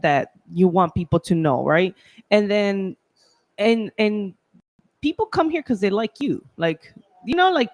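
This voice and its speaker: A woman's voice: medium pitch, no room sound. A woman hosting a casual live chat, talking in a chatty way with lots of "like" and "right?". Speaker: host. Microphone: handheld mic, filming on phone.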